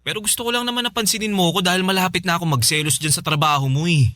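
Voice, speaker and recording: A man's voice: high-pitched, rapid-fire, dry recording, clean studio sound. A man playing a devoted, playful and somewhat needy young boyfriend in colloquial, naturalistic radio drama dialogue. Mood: sulky, pleading. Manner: expressive, colloquial.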